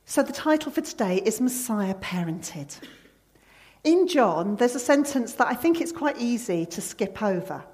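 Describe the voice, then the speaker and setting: A woman's voice: a little high, lively intonation, unhurried, darkish tone. A woman preacher giving an earnest sermon, quoting scripture and hymn verses with emphasis.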